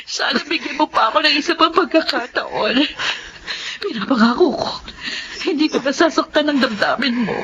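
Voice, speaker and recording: An actress with a Filipino accent playing a flighty, deceitful wife in a radio drama. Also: female voice, sits high, moderate pace, fairly bright tone. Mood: tearful pleading. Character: flighty, deceitful wife, pleading then snappish. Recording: dull audio with faint noise.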